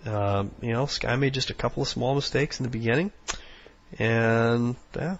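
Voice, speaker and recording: A hoarse, sniffly man with a raspy voice, rambling through casual strategy commentary with lots of fillers. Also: mid-range voice, moderate pace, lo-fi sound. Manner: rambling, many fillers, instructional. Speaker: game commentator.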